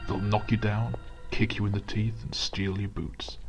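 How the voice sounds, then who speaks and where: A man's voice: lowish voice, little pitch movement, normal speed, faint music behind, natural voice. An actor playing a melodramatic hard-boiled London everyman in a noir-parody radio play. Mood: brooding, noir. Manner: dramatic, brooding.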